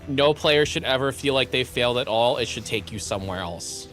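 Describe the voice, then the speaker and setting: A young man streaming live, chatting casually; he is excitable, laughs often and is losing his voice. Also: medium pitch, a little fast, soft background music. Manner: excitable, laughs often, repeats words in bursts.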